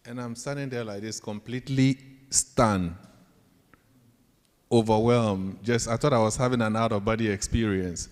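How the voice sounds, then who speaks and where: Deep-voiced Ghanaian man in a reverberant hall. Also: steady tone, moderate pace. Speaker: professor giving a talk. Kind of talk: storytelling leadership talk to students. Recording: echoey hall with long reverb.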